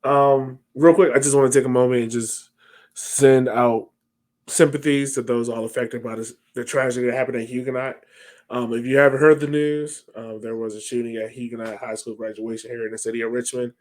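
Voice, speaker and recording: A man's voice: mid-range voice, level tone, a little fast, darkish tone. Easygoing American man hosting a podcast. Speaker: podcast host. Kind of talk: casual sports podcast chat. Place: home room, indoors, on a video call.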